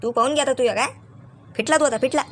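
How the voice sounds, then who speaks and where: A woman's voice: high voice, fast talker, a bit bright. A woman voicing a hot-tempered, threatening male tuition teacher in a comic cartoon, with a Vidarbha Marathi accent and scolding, repeated questions. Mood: furious.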